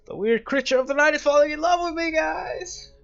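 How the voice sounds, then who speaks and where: A man's voice: very high-pitched, a little fast, gravelly, lo-fi sound. A young man doing casual, wry and reactive commentary as he plays a horror game. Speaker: gamer playing a horror visual novel. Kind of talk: casual let's play commentary.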